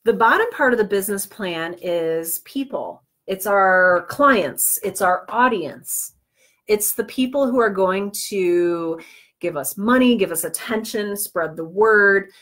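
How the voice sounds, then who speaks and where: A woman's voice: mid-range voice, expressive, a little slow, a bit bright. A woman presents an informal tutorial to live viewers. She talks in a chatty way, breaking off into self-deprecating asides. She is slightly under the weather as she speaks. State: under the weather with cold or flu, on medication. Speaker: livestream host teaching podcast business planning.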